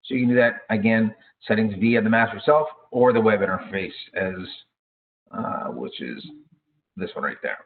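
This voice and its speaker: A man giving informal product training in a halting way, with frequent hesitations and self-corrections. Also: fairly low pitch. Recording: thin, phone-like narrow-band audio.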